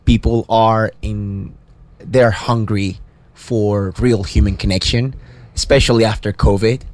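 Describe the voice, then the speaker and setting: Earnest low-voiced Venezuelan man. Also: steady tone, unhurried, a little mellow. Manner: earnest, searching for words. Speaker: theater actor guest. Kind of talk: casual interview talk.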